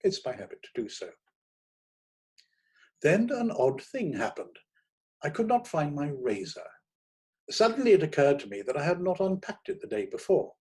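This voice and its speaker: A man narrating a first-person short story in a theatrical, expressive manner, savouring his words. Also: a little high, normal speed, long pauses, breathy edge. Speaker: audiobook narrator.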